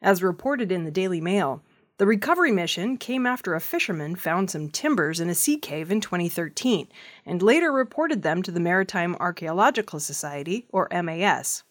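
A woman's voice: on the low side, animated, fairly quick. A woman news reader delivering a scripted audio news report.

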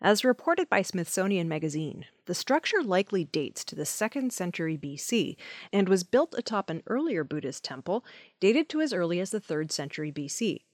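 A woman's voice: on the low side, sing-song, moderate pace, dry recording, clean studio sound. A woman reading scripted news stories as the presenter of an audio news program. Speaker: news reader.

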